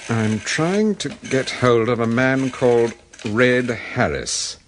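An actor playing a courteous, composed gentleman detective in a British radio drama. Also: mid-range voice, lively intonation, slow, a little hiss or hum. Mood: brisk, businesslike.

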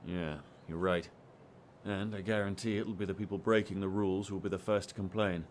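A man's voice: deep voice, steady tone, brisk, slight background noise, natural voice. A man playing a weary, dry-witted hospital doctor in a naturalistic audio drama. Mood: wry, sombre.

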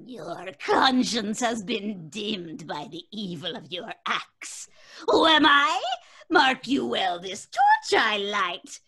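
A woman's voice: medium pitch, big pitch swings, slow pace, sharp tone, slightly rough, exaggerated voice. A woman playing a menacing, grandiose witch's ghost who declaims archaically in an old-time radio horror play. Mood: menacing, wrathful.

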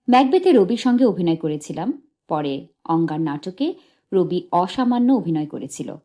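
A woman reading a memoir as an audiobook narrator, in a measured, literary storytelling delivery. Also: fairly low pitch, mellow.

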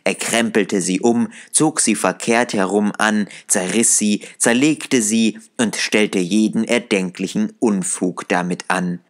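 A man narrating a classic story as an audiobook reader, measured and calm. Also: fairly low pitch. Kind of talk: scripted narration.

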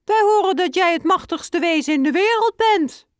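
Woman doing a squeaky father mouse. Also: expressive, normal speed, no echo, studio quality. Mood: earnest. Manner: squeaks "piep" between phrases.

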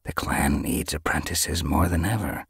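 Man as a husky, commanding male cat leader. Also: rumbling low, no echo, clean studio sound, heavily acted. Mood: subdued regret. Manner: measured, declaiming, brisk.

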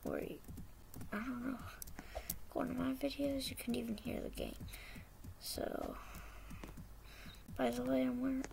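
A teenage girl talking quietly over her gameplay in a hoarse, half-whispered voice, rambling and hesitant, on a poor computer mic. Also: high voice, fairly even intonation, unhurried, long pauses, background noise. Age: teens. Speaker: gamer. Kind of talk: casual gameplay commentary. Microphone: poor-quality computer mic.

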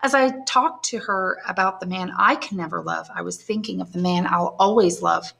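A woman's voice: fairly low pitch, normal speed, gravelly, fairly bright tone. A woman reading aloud and voicing an anxious young woman in love, earnest and pleading. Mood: anxious, tender. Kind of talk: audiobook character voice.

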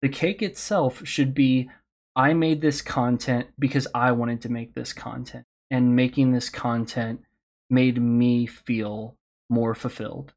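A man who hosts a podcast, giving candid, encouraging advice on a convention panel, his voice slightly hoarse. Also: mid-range voice, steady tone, takes it slowly, a little mellow. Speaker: podcast host speaking on a panel.

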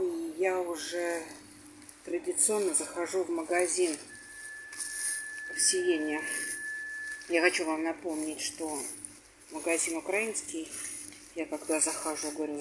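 A woman in her forties talks to her viewers on a handheld phone, chatty and blunt, her voice sitting a little low. Plenty of background noise comes through the phone audio. She speaks from a cathedral, from the street and from a train seat. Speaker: tour guide and vlogger. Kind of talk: casual vlog narration. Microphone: handheld phone camera.

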